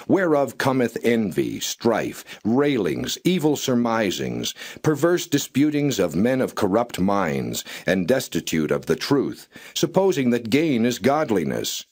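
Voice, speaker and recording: A man narrating the Bible as a straight, non-dramatized reading, in formal, measured and solemn phrasing. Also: mid-range voice, a little mellow.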